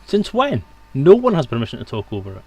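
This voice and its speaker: A man's voice: fairly high pitch, huge pitch swings, fast talker, a little mellow, breathy edge. A man hosting a livestream, chatting casually with friends and his viewers. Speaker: stream host. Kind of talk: casual stream chat.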